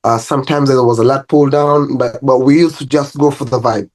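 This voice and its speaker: A man's voice: medium pitch, fairly quick. A young Ugandan man with a Ugandan accent, a personal trainer chatting easily as an interview guest, laughing between stories. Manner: relaxed storytelling with laughs and restarts. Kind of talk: casual interview.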